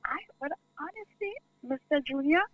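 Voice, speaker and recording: A man's voice: very high-pitched, normal speed, no room sound. A man calling in from Venezuela, talking insistently and rambling. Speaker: caller. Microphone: telephone. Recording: dry tinny phone line.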